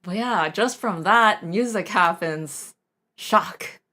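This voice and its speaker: A woman's voice: medium pitch, takes it slowly, bright, forceful tone. A young woman streaming a casual recorder lesson, rambling and self-deprecating, with frequent laughs. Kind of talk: casual live-stream chat.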